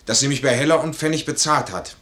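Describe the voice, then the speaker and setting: An actor playing a proud, honourable, impoverished major in a classical radio play, stern and stubborn, with formal, emphatic diction. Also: male voice, mid-range voice, fast, a bit bright, close to an everyday voice. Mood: pained pride. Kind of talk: German classical stage comedy as radio play.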